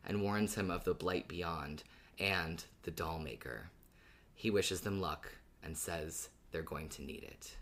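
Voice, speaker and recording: A man's voice: deep voice, a bit bright. A man co-hosting a podcast, chatting and recapping in a casual style. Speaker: podcast host.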